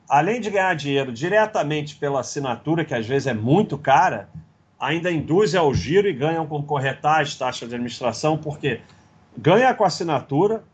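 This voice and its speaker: A man's voice: on the high side, slightly rough. A middle-aged man with a Brazilian Portuguese accent hosting a live investing stream, lecturing bluntly and sarcastically while going through slides. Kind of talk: casual livestream talk, reading slides.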